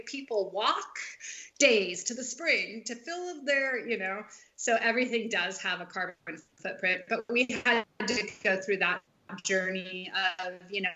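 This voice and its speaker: A woman with a bright, forward voice talks in a loose, rambling way, full of "um" and "you know". She is a podcast guest chatting casually about her business and where she grew up in Hawaii. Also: mid-range voice, rough recording. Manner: chatty, rambling with many fillers. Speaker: podcast guest, spring water business owner. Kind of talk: casual interview conversation.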